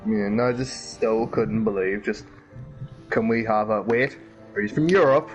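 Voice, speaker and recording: A man in a casual online chat, telling a rambling story with halting restarts. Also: fairly low pitch, dull audio, faint music behind.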